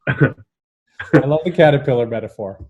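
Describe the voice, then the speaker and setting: A man's voice: medium pitch, a little slow, darkish tone, muffled recording. A man moderating a casual group chat and handing turns to others. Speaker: moderator of a librarians' discussion.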